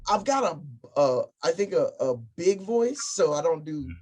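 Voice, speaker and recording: A man chatting as an interview guest, relaxed and joking as he tells stories about himself. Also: a little high, unhurried, breathy edge. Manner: relaxed, jokey storytelling. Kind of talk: casual chat interview.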